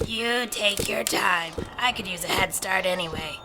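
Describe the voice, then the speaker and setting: A woman's voice: mid-range voice, a little slow, crowd noise, lightly acted. A woman playing a crotchety, teasing old landlady in audio fiction, blunt and folksy. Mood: teasing, brusque. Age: older. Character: crotchety, teasing, sharp-tongued old landlady with alien oddities.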